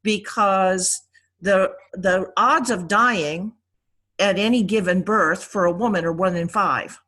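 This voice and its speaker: Casting: female priest leading a study class, mid-range voice, deliberate explanatory delivery with pauses. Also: medium pitch, fairly bright tone. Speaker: priest teaching a Bible class. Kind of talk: informal explanatory teaching.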